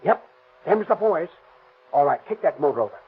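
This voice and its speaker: A man's voice: a little high, animated, brisk, lightly acted. An actor playing a ruthless, bossy gangster in 1930s radio crime acting, talking American tough-guy slang. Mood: urgent, bossy. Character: ruthless, cocky gangster planning and bossing a robbery. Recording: scratchy, noisy, narrow-band 1930s radio.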